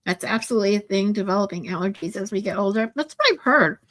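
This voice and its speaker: Stuffed-up woman, 40s, rambling live chat w/ viewers, lots of ums, middle pitch. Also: animated, quick pace, fairly bright tone. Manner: rambling, many ums and restarts. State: stuffy nose. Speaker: livestream host.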